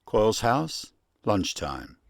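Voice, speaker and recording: A man's voice: lowish voice, sing-song, slow, vocal fry, no room sound, clean studio sound, faint music behind. A man narrating a scripted radio play, setting each scene.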